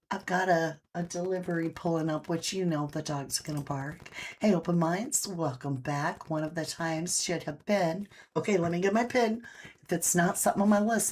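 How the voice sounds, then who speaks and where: A woman hosting a true crime livestream, chatting casually and greeting viewers by name; she says she has a big voice. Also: deep voice. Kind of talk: casual live-stream commentary. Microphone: own microphone, pulled back after being too loud.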